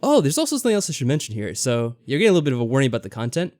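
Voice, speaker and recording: A man's voice: mid-range voice, huge pitch swings, racing, darkish tone, no echo, studio quality. A man hosting a let's play, chatting casually and a bit tentatively as he comments on a game and reads from it. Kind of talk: casual chat and game commentary, reading game text aloud. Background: game music behind the voice.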